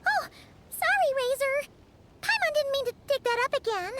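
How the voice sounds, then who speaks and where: Super squeaky little fairy sidekick, chatty, swoopy voice, game music behind. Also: female voice, expressive, normal speed, very noisy. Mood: sheepish. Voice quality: very high, squeaky and chirpy. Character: tiny floating female fairy companion, chatty. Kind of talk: game character dialogue.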